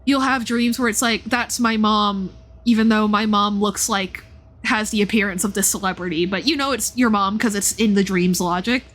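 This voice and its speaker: A woman's voice: medium pitch, normal speed. A woman livestreaming a game, thinking aloud in a casual, reflective way and often interrupting herself. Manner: animated, reflective, self-interrupting. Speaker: live streamer playing and commenting on a game.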